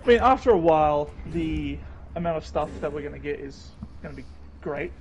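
A man's voice: a little high, lively intonation, brisk, slight background noise, low-quality recording. A man rambling and thinking aloud in casual chat while gaming with friends. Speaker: gamer.

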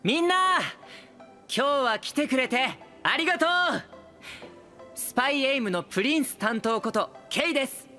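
Princely idol emcee, lilting voice. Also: male voice, very high voice, animated, moderate pace, faint music behind, full character voice. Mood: gracious. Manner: courteous, smooth, gently chiding. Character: polite, princely young male idol and emcee. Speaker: stage host. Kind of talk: audio drama acting.

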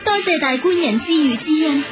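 An actress playing a poor, dutiful wife turned maid in a radio drama, deferential and plaintive. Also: female voice, sits high, expressive, a little fast. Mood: plaintive, grateful. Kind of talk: Cantonese radio drama acting. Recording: music behind the dialogue.

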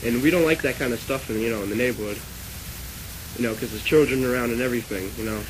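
A man prank calling as a stiff, solemn government agent, hesitant and deadpan, on a noisy phone call recording. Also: medium pitch, quick pace, lightly acted. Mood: deadpan, official. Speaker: prank caller.

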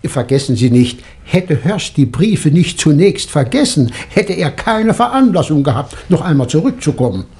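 An absent-minded but shrewd doctor turned detective in an old 1960 radio mystery. His voice is fairly high and swoops about as he explains with theatrical relish. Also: big pitch swings, normal speed, few pauses, darkish tone. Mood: smugly explaining. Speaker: actor in a crime radio play. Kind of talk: 1960 German radio play acting.

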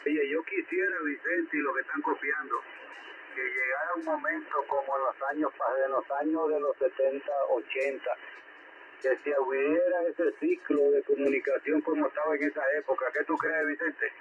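A man's voice: on the high side, steady tone, moderate pace. A man chatting casually as an amateur radio operator, repeating himself and addressing his contact directly. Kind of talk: casual ham radio chat. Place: heard over a ham radio receiver. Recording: tinny shortwave radio with light static.

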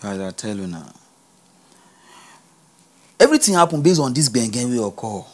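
A man plays a young Nigerian man, worn out and grumbling to his mates. He speaks quick Pidgin at a mid pitch. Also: very animated, a little hiss or hum, natural voice. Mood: fed up. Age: young adult. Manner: complaining to friends in Pidgin. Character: young man weary of home.

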